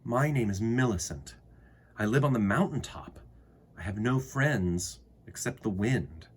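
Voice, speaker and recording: A man's voice: on the low side, animated, measured pace, slight background noise. A man voicing a lonely, plain-spoken little mountain girl in a storybook, frank and emphatic.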